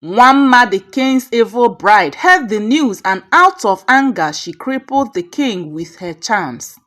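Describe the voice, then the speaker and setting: A woman with a Nigerian accent narrating a scripted folktale in a dramatic, measured storytelling manner. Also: fairly high pitch.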